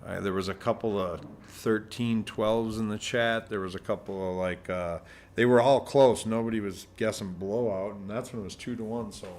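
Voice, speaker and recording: A soft-spoken man co-commentating a pool match as a club owner, chatty and quick to laugh. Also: on the low side, steady tone, a little hiss or hum.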